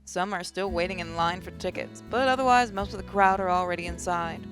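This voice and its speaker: A woman hosting a gaming channel, reading visual novel narration aloud as expressive first-person storytelling. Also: on the low side, fairly quick, faint music behind.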